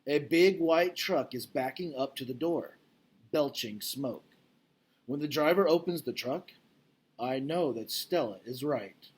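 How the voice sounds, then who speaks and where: A man's voice: medium pitch, unhurried, husky. A man speaking as a teacher, casually recapping and reading a storybook aloud in an informal, explanatory way, checking in with listeners.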